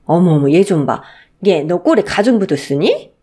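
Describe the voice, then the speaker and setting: A woman's voice: on the low side, sing-song, moderate pace, mellow, husky. A woman voicing a spiteful older mother-in-law who scolds and sneers in a radio story drama. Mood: sneering scolding. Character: spiteful, abusive older mother-in-law berating her daughter-in-law.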